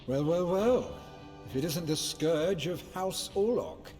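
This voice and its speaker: An actor playing a wry, cautious informant and mentor in a video game, with a knowing, cautioning manner. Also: male voice, fairly high pitch, lively intonation, measured pace, a little mellow, faint music behind. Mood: wry, intrigued. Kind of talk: video game cutscene acting.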